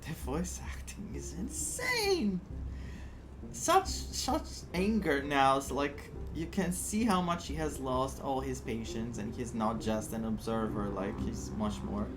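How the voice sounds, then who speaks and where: A woman doing expressive, exclaiming reaction commentary as she plays a story game. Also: rumbling low, takes it slowly, over music, low-quality recording. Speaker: game streamer, playthrough host. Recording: game soundtrack under the voice.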